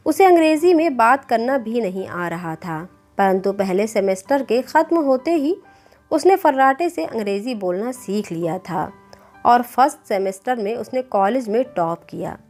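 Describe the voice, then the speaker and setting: A woman's voice: a little high, animated, moderate pace, darkish tone. A woman reading a scripted moral story as a storyteller. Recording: clear background music bed. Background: music.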